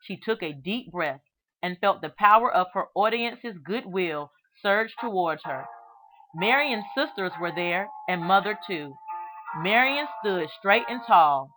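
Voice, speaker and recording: A woman reads a children's storybook aloud as narrator, with measured, expressive storytelling and deliberate phrasing. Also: mid-range voice, soft background music, low-quality recording. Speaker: reader of a children's book aloud.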